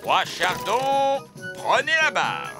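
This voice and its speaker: High-pitched, bossy elf captain. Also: male voice, very animated, slow, over loud music, full character voice. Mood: commanding. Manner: self-important, dramatic. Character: proud, bossy elf captain, fussy and defensive. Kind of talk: animated cartoon dialogue in French.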